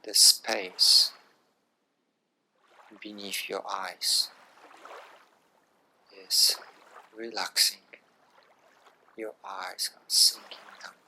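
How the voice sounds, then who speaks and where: A man guiding a yoga relaxation meditation in a hushed, soothing manner, in short phrases with long pauses. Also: fairly low pitch, level tone, slight background noise. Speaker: yoga nidra guide.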